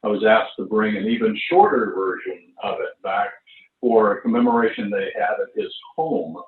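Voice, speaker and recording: A man's voice: fairly low pitch, steady tone, normal speed. Roomy, distant older man, tinny sound. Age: 70s. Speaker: actor recalling a stage show. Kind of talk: casual reminiscing. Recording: roomy, distant, tinny phone-like call audio.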